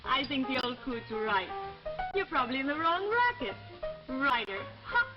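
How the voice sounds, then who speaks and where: A woman's voice: high voice, big pitch swings, normal speed. An actress playing a mocking, impatient girlfriend with sarcastic, slangy talk in a 1950s drama. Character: sardonic, mocking, impatient girlfriend of a young hustler. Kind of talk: 1950s television drama acting. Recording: muffled 1950s broadcast, music behind.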